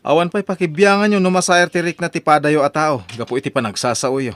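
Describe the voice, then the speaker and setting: A man's voice: a little high, steady tone, fairly quick, a bit bright, close to an everyday voice. A man with an Ilocano accent playing a stern relative who lectures a mother in a drama. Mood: reproachful. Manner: reproachful, lecturing. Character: stern middle-aged male relative scolding a mother.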